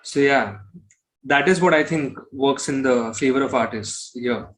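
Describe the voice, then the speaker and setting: A man's voice: mid-range voice, measured pace, a bit bright, a little hiss or hum, rough recording. A man from Kolkata with an Indian accent, answering interview questions casually, often circling back over his phrasing with "you know". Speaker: musician interview guest.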